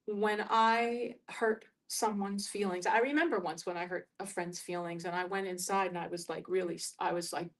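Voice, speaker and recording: A woman in a reflective group discussion, speaking haltingly as she searches for her words. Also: on the low side, fairly even intonation.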